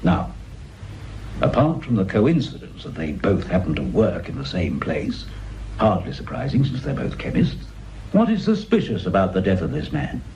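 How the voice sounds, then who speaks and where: An actor playing a manipulative, patronising British police superintendent with an insinuating manner, in a radio drama. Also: male voice, deep voice, huge pitch swings, normal speed, heavily acted. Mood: brisk, dismissive. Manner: hesitant, insinuating, condescending. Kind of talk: naturalistic radio drama acting. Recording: muffled radio recording with noise.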